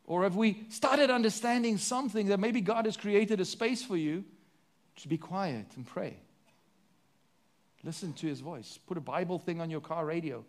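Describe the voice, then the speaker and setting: A man with a South African accent delivers a sermon from the pulpit. His voice sits high for a man. He throws rhetorical questions at the congregation and moves between emphatic lines and quieter, hushed ones. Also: moderate pace. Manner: preaching with sweeping rises and drops, rhetorical questions. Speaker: pastor.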